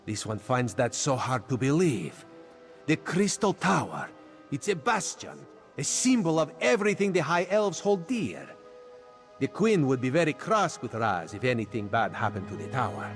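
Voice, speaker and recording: Sly, animated catman rogue. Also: medium pitch. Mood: earnest concern. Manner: theatrical, refers to himself in third person. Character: sly catlike male agent, playful, speaks in third person. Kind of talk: video game character acting.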